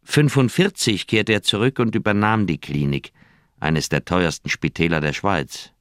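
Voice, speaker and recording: An actor playing a conscience-stricken old doctor in a German radio crime drama, speaking in an earnest, heavy, troubled manner. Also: male voice, sits low, normal speed, fairly bright tone, dry recording, clean studio sound. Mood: reluctant. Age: older.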